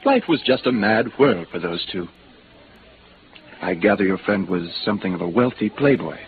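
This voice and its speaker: A man's voice: fairly low pitch, animated, moderate pace. An actor playing a pompous, courteous bartender at an exclusive bar in a 1950s radio drama, formal and flowery in his speech. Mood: confiding, formal. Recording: noisy, narrow vintage radio recording.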